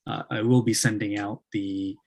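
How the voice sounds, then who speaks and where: A man's voice: lowish voice, steady tone, moderate pace, creaky, darkish tone, husky. A man hosting an interview over a video call, asking questions hesitantly with many fillers. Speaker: host.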